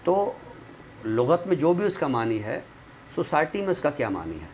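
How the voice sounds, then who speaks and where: A man's voice: fairly high pitch, huge pitch swings, normal speed, a little mellow. Man explaining over background noise. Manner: didactic, checks understanding with tag phrases. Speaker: literary expert guest. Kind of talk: explanatory conversational discussion. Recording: clearly noisy room recording.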